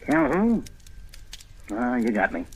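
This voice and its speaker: An actor playing a wry, world-weary foreign correspondent, narrating and starring in an old-time radio drama with a breezy, hard-boiled manner. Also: male voice, medium pitch, big pitch swings, slow, slight background noise. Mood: breezy, probing. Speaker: narrator and lead.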